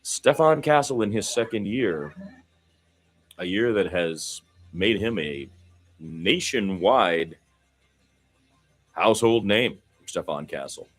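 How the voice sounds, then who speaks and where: A man's voice: lowish voice, lively intonation, slow, long pauses, soft background music. A man doing amateur radio-style basketball commentary in a conversational, folksy manner. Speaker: play-by-play commentator.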